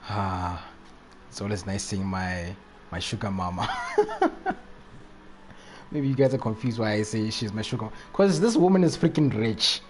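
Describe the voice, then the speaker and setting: A man's voice: lowish voice, wildly swooping pitch, measured pace. A man streams a video game and chats casually as he goes. His talk is slangy, circling back over the same phrases, with faint noise in the background. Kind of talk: casual live chat while playing.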